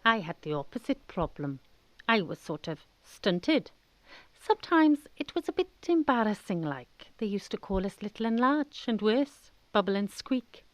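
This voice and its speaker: A woman's voice: medium pitch, sing-song, normal speed, no echo. A woman with a Welsh accent giving scripted comic narration for a radio drama, colloquial and wry. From Pembrokeshire, Wales. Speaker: storyteller.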